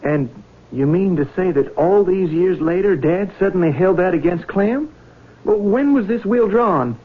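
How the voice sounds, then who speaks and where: A man's voice: sits high, expressive, unhurried, slightly rough, full character voice. A man acting an earnest, haunted young farm heir in a 1970s radio drama. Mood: indignant. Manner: earnest, reflective. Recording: thin phone-like old radio broadcast, music.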